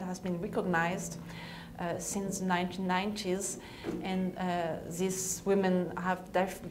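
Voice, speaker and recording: A woman in her forties, not a native English speaker, giving a university lecture in a seminar room with many fillers and self-corrections. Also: lowish voice. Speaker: guest lecturer. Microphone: far room mic, then handheld mic. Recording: noisy room recording.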